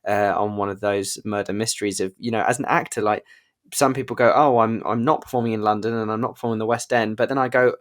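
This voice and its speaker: A man's voice: on the low side, fairly even intonation, quick pace. A man hosting a conversational interview, putting long, rambling questions with effusive enthusiasm. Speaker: interviewer, podcast host and actor.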